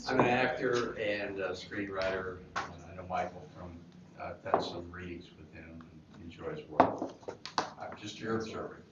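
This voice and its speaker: A man's voice: low voice, steady tone, normal speed, distant mic. A man casually introducing himself to a group as an actor and screenwriter. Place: echoey indoor room with a group. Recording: dull echoey room, loud noise, off-mic.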